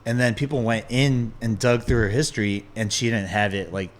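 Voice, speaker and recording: A man hosting a podcast, chatting casually with loose, relaxed storytelling and lots of filler words. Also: on the low side, brisk. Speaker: podcast host. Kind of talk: casual chat.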